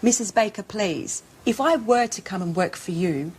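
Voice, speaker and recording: An actress playing a reserved, loyal professional woman, formal, measured and politely resistant in scripted dialogue. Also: medium pitch, animated, slightly rough. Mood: firm, reluctant. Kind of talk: acted dialogue, scripted business drama.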